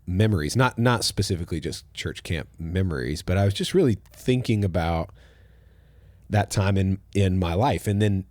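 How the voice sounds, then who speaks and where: A man with a deep, low voice, hosting a relaxed church podcast as its pastor. He talks in an easygoing, reflective way and lets his sentences fade off quietly. The sound is close and dry, with no room around it. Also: moderate pace, no room sound. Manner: relaxed, thoughtful, trails off softly at the ends of phrases. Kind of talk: casual conversational podcast.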